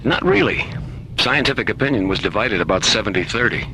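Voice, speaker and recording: A man's voice: lively intonation, brisk, sharp tone, poor audio. A man playing a bookish spaceship crewman in a science-fiction radio drama, conversationally relishing a mystery tale. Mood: intrigued. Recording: rumbling vehicle noise throughout.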